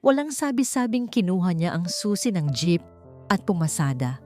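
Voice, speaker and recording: Filipino lady narrating an audiobook story, lowish voice, music playing under it. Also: very animated, normal speed, mellow. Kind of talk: scripted dramatic story narration.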